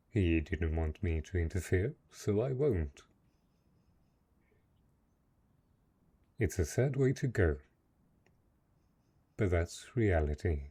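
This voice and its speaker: Guy voicing a lonely possessive dark knight, deep dark voice, slow deliberate delivery, fantasy audio roleplay. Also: slightly rough, heavily acted. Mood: grimly detached. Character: brooding, possessive, lonely dark knight, male castle host. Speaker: voice actor. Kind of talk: dramatic roleplay audio monologue.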